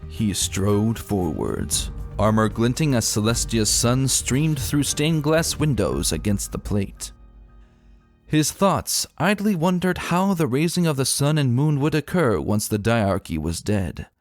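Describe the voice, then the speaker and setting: A man narrating a fantasy story as a dramatic reading, solemn and deliberate in his storytelling. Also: mid-range voice, sing-song, soft background music.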